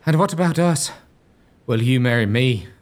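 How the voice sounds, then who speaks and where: A man's voice: mid-range voice, animated, normal speed, lightly acted. A man playing a cheeky working-class sidekick, jaunty and colloquial, in a gothic comedy audio drama.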